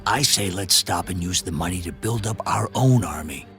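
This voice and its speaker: Imperious, defiant monarch, deep and sharp-edged, for a dramatized Bible story. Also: male voice, animated, normal speed, faint music behind. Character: proud, defiant male king of Judah.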